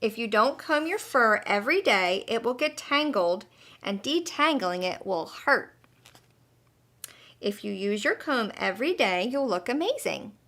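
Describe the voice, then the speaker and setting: A woman's voice: on the high side, expressive, a little slow, fairly bright tone. A woman voicing a kindly, patient female butterfly who teaches in an encouraging, emphatic way in a children's storybook. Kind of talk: children's storybook read-aloud.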